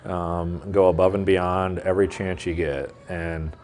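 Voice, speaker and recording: Very low-voiced man; reflective adult student and manager in a documentary interview, who lets his sentences fade out. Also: level tone, measured pace, a little mellow, a little hiss or hum.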